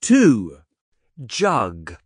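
A woman narrating English textbook audio, announcing unit titles, numbers and instructions slowly and clearly. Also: very deep voice, huge pitch swings, no room sound, studio quality. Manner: slow, clearly enunciated announcing.

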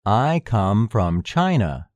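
Very slow, lively-pitched man reader. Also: dry recording. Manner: slow, deliberate repetition of words and sentences. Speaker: recorded lesson reader. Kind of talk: scripted listening exercise.

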